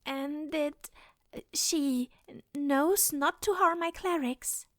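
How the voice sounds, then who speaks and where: An actress playing a composed, protective goddess in a fantasy audio drama, speaking in a measured, formal way. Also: female voice, high-pitched, no room sound, clean studio sound. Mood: guarded, concerned.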